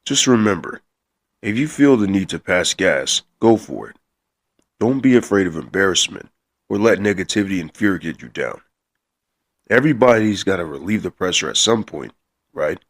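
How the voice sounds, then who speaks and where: A man's voice: low voice, expressive, moderate pace. A man voicing a big, brash, hot-headed and shameless gorilla in a scripted comedy cartoon. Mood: easygoing. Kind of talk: scripted comic cartoon dialogue.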